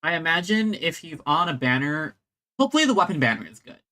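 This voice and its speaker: Bright, animated gaming streamer. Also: mid-range voice, quick pace. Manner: chatty, expressive. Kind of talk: casual let's-play commentary. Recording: soft game music behind.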